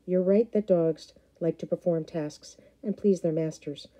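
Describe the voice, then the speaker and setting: A woman voicing a calm, plainspoken male herder from the far north who talks steadily. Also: on the high side, a little slow, lightly acted.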